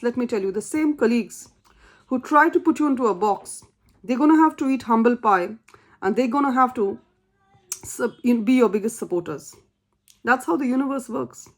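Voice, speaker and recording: A woman with a somewhat high voice gives a tarot reading in English, which is not her first language. She speaks earnestly and encouragingly, repeating her key phrases for emphasis. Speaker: tarot reader.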